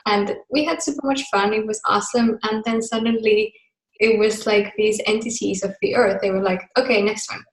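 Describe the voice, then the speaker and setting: A chatty woman from Finland talks casually over a video call, her voice sitting at an everyday middle pitch. She drifts through fillers and little asides. The call audio carries a roomy echo. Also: fairly even intonation, moderate pace. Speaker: host of a group video call. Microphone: computer mic on a video call.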